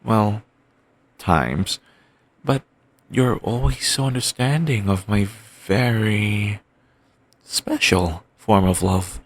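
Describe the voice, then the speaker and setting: A man playing an obsessive, doting yandere boyfriend in an audio roleplay, talking softly and rambling on with endearments. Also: on the low side, no echo. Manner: soft, murmuring, slow and rambling endearments.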